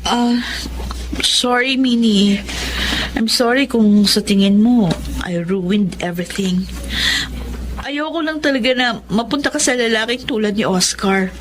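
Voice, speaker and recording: An actress playing a sincere, loyal young Filipino woman in a radio drama, speaking in a measured way. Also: a little high, a little hiss or hum, lightly acted, poor audio. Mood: apologetic. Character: earnest, apologetic young woman, loyal single friend. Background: music.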